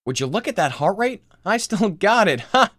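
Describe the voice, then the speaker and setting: Man playing a blustering middle-aged dad, animated pitch. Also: normal speed, no room sound, studio quality, full character voice. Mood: boastful. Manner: boastful, blustering, exasperated. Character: brash, self-obsessed fitness-mad father in mid-forties.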